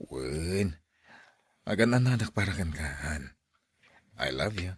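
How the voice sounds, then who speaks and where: A man's voice: deep voice, expressive, slow pace, breathy edge, no echo, exaggerated voice. A man playing a devoted, protective young boyfriend in an audio drama, earnest and coaxing. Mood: affectionate, protective.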